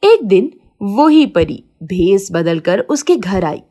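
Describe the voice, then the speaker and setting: A woman's voice: medium pitch, very animated, slow pace, dark tone. A woman narrating a scripted moral story for children as a storyteller.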